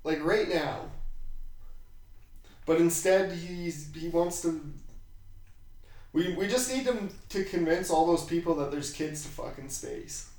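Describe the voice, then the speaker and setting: A man with a raspy, slightly high voice talks slowly in a heavily echoing room. He chats casually like a podcast host, swearing freely and irreverently. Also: darkish tone. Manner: profane, irreverent. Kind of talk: casual chat. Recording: very echoey room.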